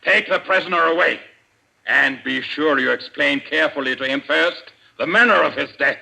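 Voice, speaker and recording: Give a coldly menacing crime boss in an old radio thriller. The voice is fairly high and forceful, with a gravelly edge. The delivery is formal, theatrical and commanding. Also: male voice, moderate pace, heavily acted, low-quality recording. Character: commanding, coldly menacing male president of a criminal society. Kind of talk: old-time radio suspense acting.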